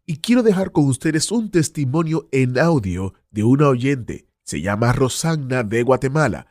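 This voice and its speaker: A male program host presents in a mid-pitched voice with very animated intonation. The sound is dry and close, in a scripted radio style. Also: a little slow, no echo.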